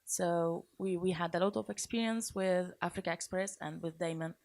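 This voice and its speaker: A woman with a Syrian Arabic accent, a singer introducing herself informally on a panel in simple, halting phrases. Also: fairly low pitch, a little mellow.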